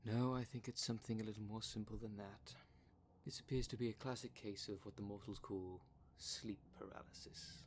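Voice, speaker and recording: A man's voice: fairly low pitch, fairly even intonation, normal speed, slight background noise, close to an everyday voice. A man playing a courteous, pedantic dream demon in an ASMR roleplay, speaking in formal, wordy, precise phrases. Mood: pedantic, concerned. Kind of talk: ASMR roleplay audio drama.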